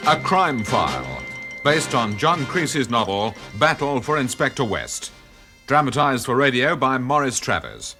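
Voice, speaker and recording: A man delivers a scripted, dramatic radio introduction. His middle-pitched voice moves expressively up and down. Clear theme music plays behind him. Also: big pitch swings, a little slow, pushed and bright. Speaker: radio announcer. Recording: music under the voice.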